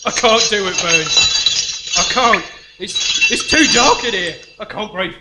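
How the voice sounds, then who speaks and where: Guy doing anxious whiny jailbird sidekick, high voice all over the place, stage comedy, noisy recording. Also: animated, unhurried. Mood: anxious, despairing. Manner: fretful, complaining. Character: anxious, indignant, highly strung male prisoner accomplice. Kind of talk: live comedy theatre acting. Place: live performance in a museum.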